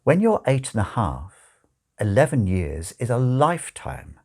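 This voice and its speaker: A man narrating a comic novel as an audiobook, with wry, expressive storytelling. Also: mid-range voice, unhurried. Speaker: audiobook reader.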